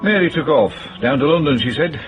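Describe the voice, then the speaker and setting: An actor playing a smooth, menacing club boss in a radio drama, clipped and condescending. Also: male voice, a little high, expressive, fairly quick, lo-fi sound, faint music behind, natural voice. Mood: sly, mocking. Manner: clipped, condescending, veiled threats.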